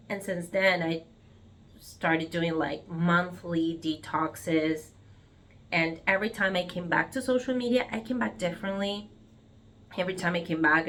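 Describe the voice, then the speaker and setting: A woman's voice: lowish voice, fairly bright tone. A young woman from Argentina with an Argentine Spanish accent, speaking English as a second language in a casual, reflective vlog, hesitant, with many fillers and restarts. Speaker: vlogger. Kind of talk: casual reflective monologue to camera.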